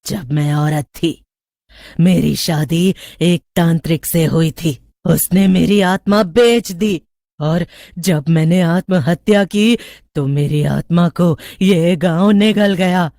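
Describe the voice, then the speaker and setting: A brooding witch spirit from a horror tale, voiced by a woman, bent on revenge. She intones her words very slowly and menacingly, in a dry recording. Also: on the low side, warm tone, no room sound. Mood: menacing, bitter. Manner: slow, menacing, incantatory. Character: brooding vengeful city witch, ancient returning spirit. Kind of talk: dramatised horror storytelling.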